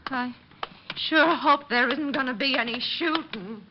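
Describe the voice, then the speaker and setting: A woman's voice: high voice, a little slow, noisy background. An American actress playing a jittery, hesitant female hotel desk clerk in an old-time radio drama with muffled sound. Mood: anxious. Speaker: radio actor. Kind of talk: old-time radio comic character acting. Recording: muffled vintage radio recording.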